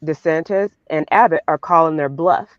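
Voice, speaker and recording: A man with a high voice argues politics in long, run-on stretches with a sarcastic edge. His audio is dull and lo-fi, with a close, dry sound and no room around it. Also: normal speed, no echo. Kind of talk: casual political discussion.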